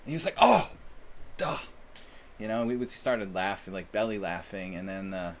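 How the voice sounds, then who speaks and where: A man's voice: low-pitched, lively intonation, moderate pace, slight background noise. A man from the United States streaming chess and chatting casually with viewers in a rambling, self-deprecating way. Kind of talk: casual live-stream chat.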